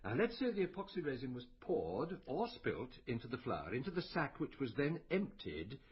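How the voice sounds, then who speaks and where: An actor playing a brooding, inquisitive medical detective in a naturalistic radio drama, questioning and musing in a deliberate way. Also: male voice, medium pitch, huge pitch swings, breathy edge, muffled recording, exaggerated voice. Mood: probing.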